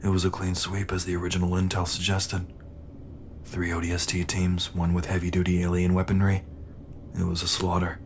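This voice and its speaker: A low male voice plays a worn-down, defiant sergeant as acted by a man in a sci-fi audio drama. He talks bluntly and bitterly over clear background noise. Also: completely flat delivery, fairly quick. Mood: subdued. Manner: blunt, embittered. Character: weary, defiant male shock-trooper sergeant under interrogation. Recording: noisy ambient background.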